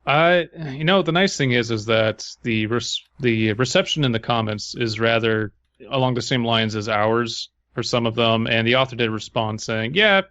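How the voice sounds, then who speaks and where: A man's voice: medium pitch, normal speed, fairly bright tone, clear, resonant tone, no echo. Casual male podcaster, dry dull sound. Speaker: podcast host. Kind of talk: casual chat about a story.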